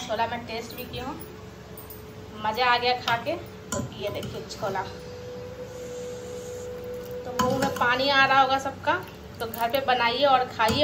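A woman in her thirties with a high voice talks excitedly straight to her viewers, vlogging on a phone. She is in a roomy, echoing home kitchen where pots and dishes clatter behind her. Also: noisy background. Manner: chatty, excited, addressing viewers directly. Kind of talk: casual cooking vlog chat. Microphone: handheld phone camera.

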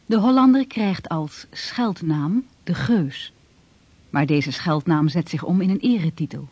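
A man's voice: on the high side, measured pace, darkish tone, dry recording. A man narrating a historical radio play in a solemn, declamatory manner. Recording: dull vintage radio recording with music.

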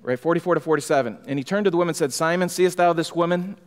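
Middle-aged pastor preaching in a reverberant sanctuary. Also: male voice, on the high side, rapid-fire. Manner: emphatic, rising in fervor, gestures while preaching. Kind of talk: expository sermon. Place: church sanctuary, large room indoors. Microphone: pulpit microphone. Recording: long hall reverb.

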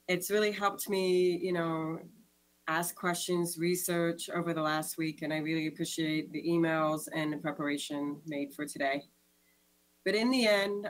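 An earnest woman in her thirties speaks in a lowish voice, measured and deliberate, as if reading a prepared statement. She is on a video call from a room at home. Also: rough recording. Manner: earnest, measured, reads from notes. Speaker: school board member. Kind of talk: prepared statement at a board meeting.

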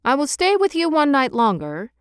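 A woman's voice: high-pitched, huge pitch swings, normal speed, no room sound, studio quality, pitched above the performer's own voice. A woman voicing a small, kind-hearted male swallow, earnest and tender, in a storybook tale. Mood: devoted.

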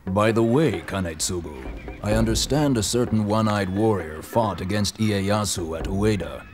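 Male actor as stern samurai official, lowish voice, formal, clipped, cold, game drama, music behind. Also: a little slow, natural voice. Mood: probing. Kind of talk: English game dub acting.

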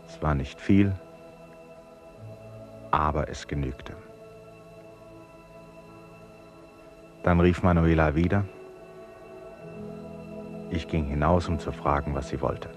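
An actor playing a brooding, resolute man confined in an asylum in a German radio play, speaking in a deliberate, introspective way. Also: very deep voice, heavily acted. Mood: resigned. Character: brooding, resolute male traveller confined in an asylum, torn by guilt. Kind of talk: 1950s-70s German radio play, monologue and dialogue.

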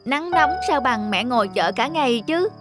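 A woman's voice: high voice, expressive, normal speed, over loud music. A woman voicing an earnest, devoted young son in a cartoon. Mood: caring, hopeful. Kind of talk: cartoon dubbing.

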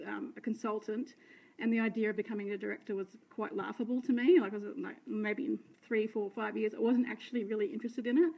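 Australian woman in her 30s, fairly high voice, a touch croaky, chatty live-video storyteller on a phone. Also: darkish tone, poor audio. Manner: chatty, rambling, self-deprecating, greets viewers. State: slightly croaky. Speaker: direct sales team leader. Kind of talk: unscripted live video talk. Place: indoor room by curtains. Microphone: phone held close, front camera.

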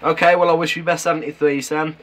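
A British man in casual two-way radio chat, halting and matey, using operator jargon. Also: on the high side, level tone, fairly bright tone. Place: at home beside a CB radio set. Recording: noisy room with radio hiss.

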